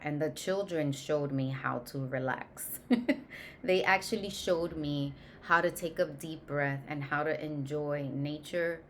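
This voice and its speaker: A woman in her forties talks in a low voice, introducing herself to the viewers. She goes at an easy pace, filling gaps with ums and fixing her phrasing as she goes. A faint hiss of noise sits under the recording. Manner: unhurried, many ums, loose self-corrections. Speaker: course host, education founder. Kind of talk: personal introduction, casual talk to camera.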